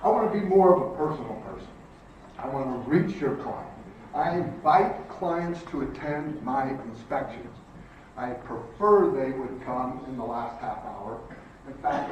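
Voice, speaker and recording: A man's voice: medium pitch, a little mellow, lo-fi sound, slight background noise. An older man speaking earnestly and personally as a home inspector giving a talk to realtors. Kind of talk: conference presentation. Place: hotel conference room, indoors. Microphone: far camera across the room. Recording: echoey room from far camera.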